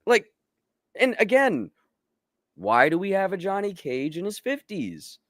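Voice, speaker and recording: Animated, opinionated man, dry close sound. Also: high voice, measured pace, dry recording. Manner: opinionated, profane, emphatic. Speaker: show host and film commentator. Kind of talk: casual panel chat about movies and games.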